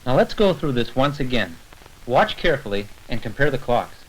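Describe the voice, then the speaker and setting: A man's voice: mid-range voice, sing-song, brisk, husky. A man narrating an educational science short in an informal, conversational lecture style, explaining step by step. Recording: noisy old 1970s film soundtrack with clicks.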